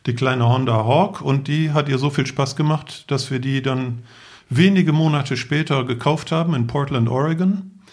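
A man's voice: medium pitch, vocal fry. A man, telling casual anecdotes as a podcast guest. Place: living room.

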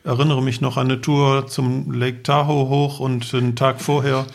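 Creaky-voiced man recounting travel memories. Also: medium pitch, level tone, moderate pace. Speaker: podcast guest, travelling father. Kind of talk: casual anecdotal storytelling.

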